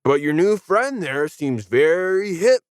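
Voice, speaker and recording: A man's voice: on the high side, very animated, slow, smooth, dry recording, studio quality, pitched above the performer's own voice. A man voicing an easygoing, slangy hippie neighbour, a friendly dude in an audio science fiction story. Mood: easygoing, puzzled.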